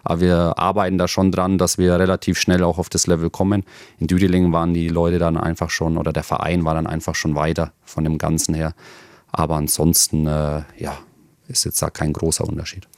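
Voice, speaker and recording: A man in his thirties from Germany, a football player answering interview questions in a relaxed, hesitant way with frequent fillers. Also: low-pitched, brisk, dry recording, studio quality. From Germany, near Nuremberg. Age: 30s.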